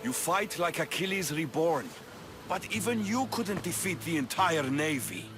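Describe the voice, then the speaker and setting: An actor playing a proud, earnest Spartan military leader in a video game, speaking tersely. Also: male voice, on the high side, very animated, measured pace, music in the background. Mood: serious. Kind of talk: video game cutscene acting.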